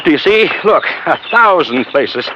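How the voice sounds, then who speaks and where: An actor playing an agitated, evasive doctor of science in a 1950s radio drama, speaking in a halting, dramatic way. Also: male voice, a little high, very animated. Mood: urgent. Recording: muffled, noisy old radio recording.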